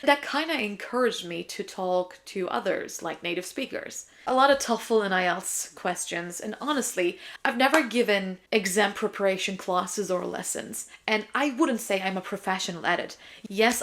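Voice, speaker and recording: Chatty young woman, native Turkish speaker. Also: mid-range voice. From Turkey. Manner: chatty, emphatic, self-described yapper. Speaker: English teacher and video host. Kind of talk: casual vlog chat, answering viewer questions.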